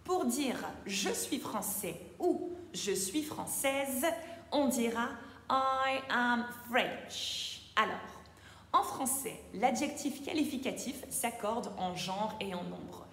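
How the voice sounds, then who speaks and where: A woman teaching English in French, with a clear, careful delivery that slows down to model each English word. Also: on the high side, lively intonation, fairly bright tone. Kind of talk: scripted lesson narration. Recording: echoey room with long reverb, faint ambience.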